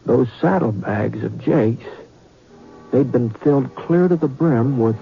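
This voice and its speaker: Folksy western radio storyteller. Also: male voice, mid-range voice, lively intonation, vocal fry, husky, faint music behind, full character voice. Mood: hushed wonder. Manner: folksy, unhurried, dramatic pauses. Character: folksy western yarn-spinner narrating a ghost tale. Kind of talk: old-time radio storytelling narration. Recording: dull vintage radio recording.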